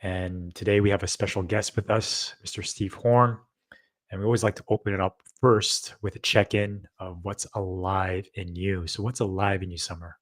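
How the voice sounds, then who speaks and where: Low-voiced man co-hosting a live chat. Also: little pitch movement, moderate pace. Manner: loose, rambling, with many fillers. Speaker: co-host. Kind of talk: casual live-stream chat.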